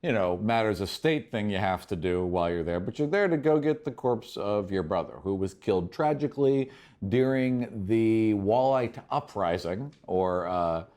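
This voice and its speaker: A man's voice: lowish voice, animated, normal speed, darkish tone. A man acting as game master, narrating a tabletop fantasy game in an explanatory, conversational way.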